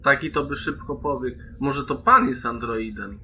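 A man's voice: mid-range voice, normal speed, dull audio, close to an everyday voice. A man playing a polite but argumentative, complaining fifteen-year-old boy in an online roleplaying game. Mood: needling. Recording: band-limited online call with steady noise.

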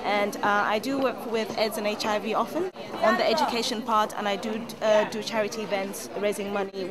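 A woman, a pageant contestant, giving earnest interview answers with some hesitation. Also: medium pitch. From Zambia. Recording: loud music and crowd behind.

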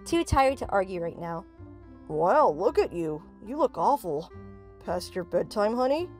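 A woman's voice: medium pitch, sing-song, unhurried, soft background music. A woman voicing a tired, snarky, easily flustered teenage boy in an exasperated, dramatic comic dub style.

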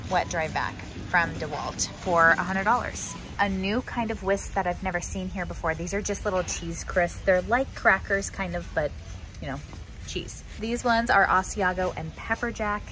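A young American woman with a slightly low voice talks in a casual, chatty vlog style. She addresses viewers informally while walking through a big warehouse store, with clear background noise around her. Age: young adult. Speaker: vlogger. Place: large indoor warehouse store.

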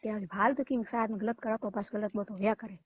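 A woman's voice: medium pitch, steady tone, brisk, slightly rough. A woman with a Rajasthani Marwari accent arguing heatedly on a phone call, combative and coarse, swearing. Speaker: caller in a recorded phone call. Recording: muffled, echoey phone call recording.

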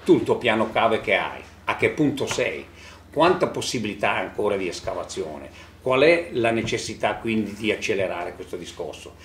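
Man, rhetorical questions, very varied pitch, faint noise. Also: expressive, moderate pace. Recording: echoey room, faint noise.

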